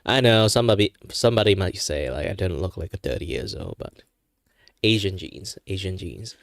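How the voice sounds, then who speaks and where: A man's voice: deep voice, animated, moderate pace, gravelly, no room sound, clean studio sound. A man in his thirties from Malaysia whose first language is Chinese, chatting casually on a drawing stream with lots of fillers and laughs. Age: 30s. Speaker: streamer and aspiring voice actor.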